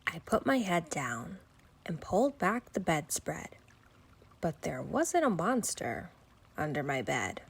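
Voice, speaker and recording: A woman reading a rhyming children's bedtime story aloud in an expressive storytelling style. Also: sits low, takes it slowly, vocal fry, husky.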